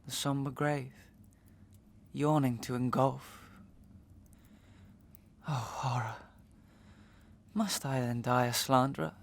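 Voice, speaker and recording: Haunted, gravelly gentleman declaiming slowly. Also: mid-range voice, steady tone. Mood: despairing. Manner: declamatory, halting, overwrought. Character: anguished, haunted gentleman, despairing and half-mad. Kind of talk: Victorian melodrama soliloquy.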